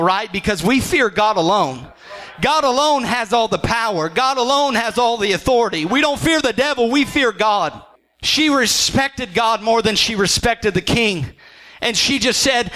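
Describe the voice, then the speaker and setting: A male pastor preaching a sermon, rising into shouts and half-sung phrases and acting out quoted voices. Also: extremely high pitch, poor audio.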